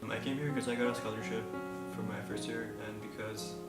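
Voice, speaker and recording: A soft-spoken man speaks in a low, level voice with little rise and fall. He sounds distant in an echoey room, with music playing behind him. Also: fairly even intonation, fairly quick. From Pakistan. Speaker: physics student. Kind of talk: documentary interview answers.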